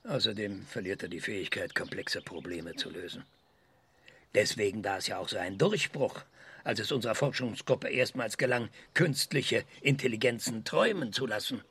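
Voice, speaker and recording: A man playing a self-assured research scientist in a radio drama, lecturing emphatically with dramatic pauses. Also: lowish voice, fairly bright tone, husky, exaggerated voice. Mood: enthusiastic, lecturing. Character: excitable, self-assured male research scientist giving an interview.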